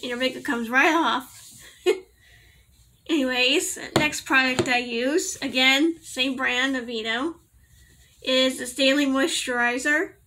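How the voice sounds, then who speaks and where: A young woman in a casual home vlog, rambling and giggling as she corrects herself, her voice a little raspy from allergies. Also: sits high, slow pace, background noise. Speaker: vlogger. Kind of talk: casual product review to camera. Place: small bedroom indoors.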